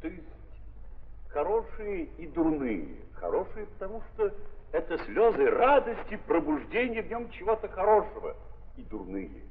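A man's voice: a little high, wildly swooping pitch, moderate pace. A man narrating a radio drama in a theatrical 1930s manner, repeating phrases for emphasis. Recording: muffled 1930s radio recording, long hall reverb.

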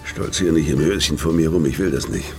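Very deep-voiced, world-weary hard man. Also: brisk. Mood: firm, weary. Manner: terse, hard-boiled, guarded. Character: gruff world-weary dying male debt collector, hard-boiled. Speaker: narrator and lead actor. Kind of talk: noir crime radio drama, first-person narration and dialogue. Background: music behind the voice.

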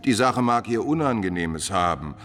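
An actor playing a smug, scheming prince's emissary in a classical German radio play, with pompous, oily formality. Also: male voice, lowish voice, expressive, normal speed, fairly bright tone, long reverb tail, soft background music. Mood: needling, mocking.

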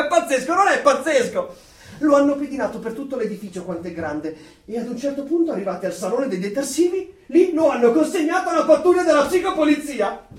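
Radio play: eager, proud conformist, very high voice, theatrically amazed, slightly distant in a reverberant room. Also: male voice. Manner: chatty, emphatic, theatrically amazed. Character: gushing, proud parent and eager conformist in dystopia. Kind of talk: theatrical radio drama acting. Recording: echoey room, distant from mic.

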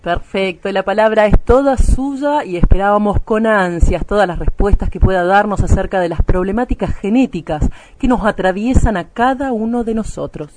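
A woman's voice: medium pitch, moderate pace, few pauses, background noise, lightly acted. A woman playing a courteous, eager radio host with an Argentine accent in a satirical radio play. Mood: upbeat presenting. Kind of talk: satirical radio theatre interview.

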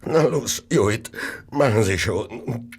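An actor in a German radio play as an irritable, paranoid, drug-addicted Victorian gentleman, brusque and bitter. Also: mid-range voice, expressive, slow, slightly rough. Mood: demanding, desperate.

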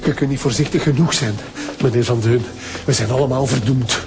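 A man's voice: medium pitch, a little fast, natural voice. A man acting out a frightened, preachy male concierge with a Flemish accent, stammering and railing against sinners. A radio play with faint music under the voice. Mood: grim. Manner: stammering, moralizing about sin. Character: fearful pious male concierge, nervous and preachy.

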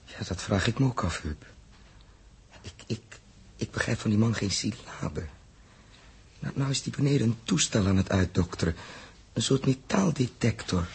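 An actor playing an earnest, troubled spaceship captain in a 1960s radio drama, emphatic and halting as he puzzles things out. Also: lowish voice, expressive, full character voice. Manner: emphatic, halting when perplexed. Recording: rough early 1960s radio recording.

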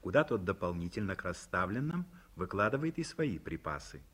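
Male narrator, low and husky, reads stage directions plainly for a 1950s radio play. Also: big pitch swings, a little fast, fairly bright tone.

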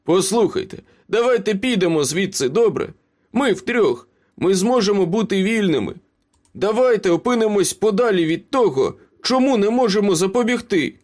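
A man's voice: high-pitched, very animated, normal speed, pitched above the performer's own voice. A man voicing a wounded, cowardly young male traitor in a storybook, pleading and protesting breathlessly. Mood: urgent persuading. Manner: frantic, gasping pleas and protests.

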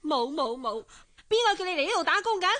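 A woman's voice: high voice, big pitch swings, quick pace, a bit bright. An actress playing a haughty, spiteful young rich woman in a radio drama, with a Hong Kong accent and a sneering, coquettish delivery. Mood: spiteful, accusing. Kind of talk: Cantonese radio drama acting.

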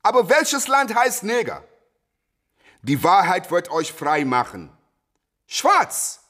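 An actor in a German radio play voicing a proud, fiery young boxer who preaches emphatically with rhetorical repetition. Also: male voice, high voice, sing-song, slow pace, fairly bright tone, heavily acted. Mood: fervent preaching.

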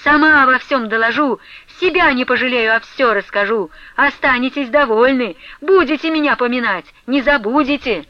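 A woman voicing a furious, jealous young woman, shouting defiant threats in a dramatic audiobook. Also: high-pitched, moderate pace, few pauses, dry recording, highs cut off, pitched above the performer's own voice, natural voice. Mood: shouting defiance. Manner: shouting, defiant, hot-tempered.

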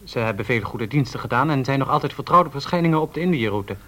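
An actor playing an earnest, challenging young newspaper reporter of the 1930s in a Dutch radio drama, formal and declamatory. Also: male voice, medium pitch, lively intonation, fast talker, a bit bright.

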